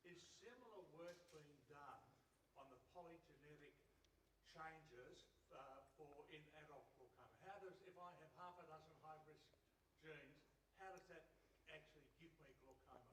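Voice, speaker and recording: Man, audience questioner; loud voice heard from a distance in a roomy hall over noticeable noise. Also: fairly high pitch, normal speed. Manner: loud, speaking up without a microphone. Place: lecture hall. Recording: echoey hall, voice far from mic.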